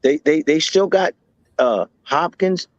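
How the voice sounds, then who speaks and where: A man co-hosting a podcast, chatting casually about football, talking in quick bursts and repeating himself. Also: a little high, no echo, highs cut off. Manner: animated, talks in bursts with repeats. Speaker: podcast co-host. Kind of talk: casual sports chat.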